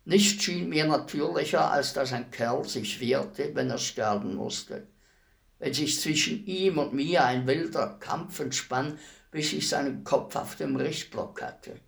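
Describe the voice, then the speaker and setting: A weary executioner in a radio play, musing philosophically on death. The voice is mid-pitched with a heavy creak, and the delivery is very slow and deliberate. The manner stays wistful, formal and brooding. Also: male voice. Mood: proud, nostalgic. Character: world-weary executioner, wistful, respectful, philosophical about dying. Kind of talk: radio play acting, slow reflective monologue.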